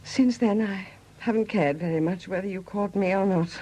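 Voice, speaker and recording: An actress in a 1970s British radio drama playing a widowed murderess who confesses in a hesitant, confiding manner. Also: female voice, medium pitch, normal speed, mellow, lightly acted, rough recording. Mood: sorrowful resignation. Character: quiet confessing murderess, a Welsh sculptor's widow.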